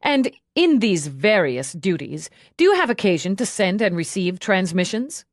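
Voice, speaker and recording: A woman's voice: mid-range voice, big pitch swings, normal speed, no room sound, clean studio sound. Hard, relentless policewoman grilling a suspect , read by a woman. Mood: probing. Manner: pressing, confrontational questioning. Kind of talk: audiobook character dialogue.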